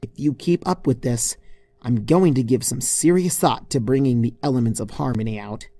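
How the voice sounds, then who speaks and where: A narrator voicing an exasperated young female unicorn pony in a fan story reading, indignant and pleading, given to frustrated shouts and mutters. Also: medium pitch, moderate pace, no echo, natural voice. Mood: angry, worried.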